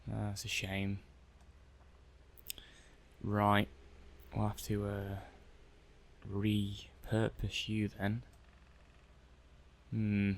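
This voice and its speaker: A man's voice: on the low side, gravelly. A man playing a mild, methodical robot-testing technician in an ASMR roleplay, muttering hesitantly and matter-of-factly to himself. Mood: mildly concerned. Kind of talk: soft-paced ASMR roleplay monologue.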